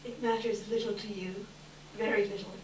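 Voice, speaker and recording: A woman's voice: medium pitch, fairly even intonation, natural voice. Woman as solemn young fiancée, echoey and noisy. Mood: sorrowful, resolute. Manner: measured, solemn, formal. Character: sorrowful, dignified young fiancée, gently resolute. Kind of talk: readers theater stage reading. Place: indoor live event, distant microphone. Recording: distant, echoey hall with clear noise.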